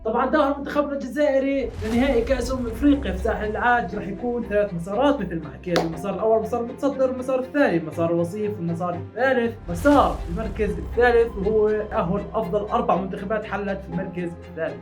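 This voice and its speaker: Rapid-talking young man with Jordanian-Palestinian Arabic. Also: high voice, over music. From Palestinian-Algerian, living in Jordan. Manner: chatty, eager, addresses viewers as brothers. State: ill and tired. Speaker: football YouTuber. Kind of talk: casual chat to camera.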